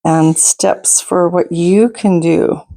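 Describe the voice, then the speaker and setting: A woman reading a picture book aloud to children as a storytime host, in a deliberate, expressive manner with asides to her listeners. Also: fairly low pitch, creaky. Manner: deliberate, expressive, pauses to ask listeners questions.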